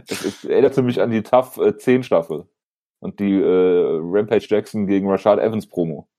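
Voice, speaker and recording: A man's voice: fairly low pitch, dark tone. A man co-hosting a casual podcast chat, teasing the others and jumping in with short remarks. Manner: teasing, quick laughs, jumps in with short remarks. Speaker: podcast co-host.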